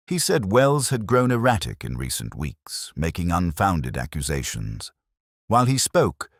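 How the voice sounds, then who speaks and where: A man playing a brooding, literary police inspector who narrates a mystery drama in the first person, in measured, reflective phrases. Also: a bass voice, breathy edge, no room sound, studio quality, heavily acted.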